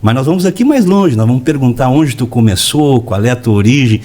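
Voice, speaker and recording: A man's voice: mid-range voice, animated, fairly quick, warm tone. A man hosting a casual podcast interview, friendly and admiring, often ending his remarks with tag questions. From Blumenau, southern Brazil. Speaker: podcast host.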